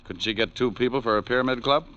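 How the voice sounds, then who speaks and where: A man's voice: medium pitch, fast talker, pushed and bright, natural voice. An American man playing a wisecracking, money-loving private detective in a breezy, sardonic 1940s radio noir style. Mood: flippant. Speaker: lead actor and narrator. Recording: muffled old radio recording, music behind.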